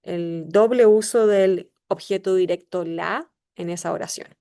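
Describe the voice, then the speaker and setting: A Chilean woman in her forties with a Chilean Spanish accent, giving a measured class presentation with small filler pauses. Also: lowish voice, warm tone. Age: 40s. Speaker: student presenter.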